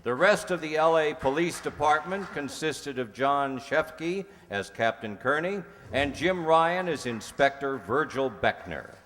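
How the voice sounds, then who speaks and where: Animated male emcee in an echoey hall. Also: on the high side, measured pace, hardly any pauses. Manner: theatrical, jocular. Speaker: troupe announcer and master of ceremonies. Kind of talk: curtain-call introductions to a live audience. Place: hall with an audience. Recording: echoey hall, noisy live audience.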